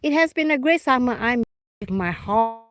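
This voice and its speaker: A woman from Singapore with a Singaporean accent, chatting casually on a live stream while fishing outdoors, halting with fillers and restarts. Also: on the high side, expressive. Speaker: vlogger.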